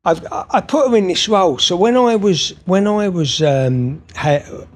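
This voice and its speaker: A man's voice: high-pitched, lively intonation, measured pace. A man guesting on a podcast, talking openly and at length with many fillers and restarts. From Scotland. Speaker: podcast guest, therapist and author. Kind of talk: conversational interview.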